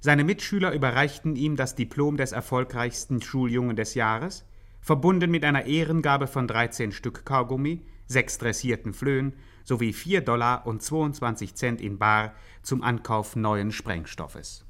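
A man playing an earnest, ceremonious biographer who narrates a German radio satire deadpan in 1950s style. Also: mid-range voice, normal speed, natural voice. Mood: mock-solemn. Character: earnest mock-solemn biographer of a famous gangster.

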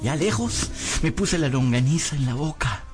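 A man plays a poor servant boy and little rogue narrating a radio play. The voice sits on the high side, with music underneath. He tells his woes in a theatrical, plaintive manner. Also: animated, moderate pace, close to an everyday voice. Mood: rueful storytelling. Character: poor hungry roguish servant boy telling his misfortunes. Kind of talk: dramatic first-person radio narration. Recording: music bed behind voice.

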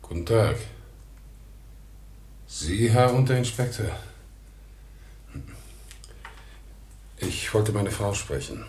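An actor in a 1960s German radio play playing a wronged, humble organ-grinder with a halting, plaintive manner. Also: male voice, animated, darkish tone. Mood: pleading. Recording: roomy 1960s radio recording with rumble.

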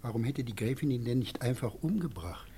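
Man playing a fussy, animated sceptical husband. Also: mid-range voice, a little fast, a little hiss or hum, lightly acted. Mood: dismissive. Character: sceptical, fussy middle-class husband, dismissive and peevish. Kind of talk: German radio play acting.

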